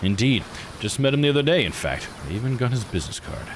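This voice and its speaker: A man's voice: mid-range voice, animated, quick pace, a little hiss or hum, lightly acted. A man voicing a curious, theatrical male adventurer in visual novel dialogue, musing and grandly polite. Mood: smug, composed. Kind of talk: visual novel character read-aloud.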